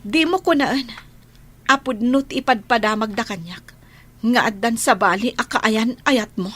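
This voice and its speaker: A woman's voice: on the high side, unhurried, a bit bright. A woman with an Ilocano accent playing a loving, trusting overseas worker and older sister in a radio drama. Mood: hurt, pleading. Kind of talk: Filipino radio drama acting.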